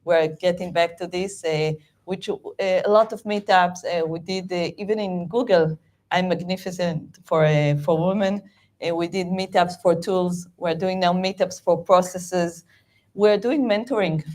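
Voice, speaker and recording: Israeli woman, halting animated talk. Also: on the low side. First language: Hebrew. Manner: halting, animated, many fillers and restarts. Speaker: guest speaker, project management VP. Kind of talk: meetup talk.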